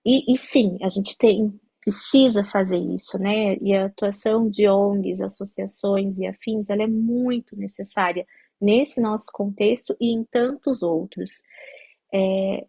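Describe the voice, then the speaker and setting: Husky-voiced Brazilian woman lecturing. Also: medium pitch, a little slow, mellow, no echo. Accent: Brazilian Portuguese. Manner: explanatory, many fillers and restarts. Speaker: lecturer on teaching Portuguese to migrants. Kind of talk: academic talk at a meeting.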